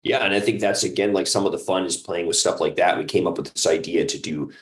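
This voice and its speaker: A man with an exceptionally deep voice. He chats casually as a guest in an interview, talking like a creator at ease explaining his work. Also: quick pace. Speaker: audio drama creator and actor, interview guest.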